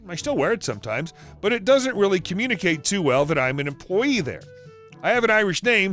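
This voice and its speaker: A man's voice: fairly high pitch, a bit bright. A man narrating an online story in a matter-of-fact reading voice.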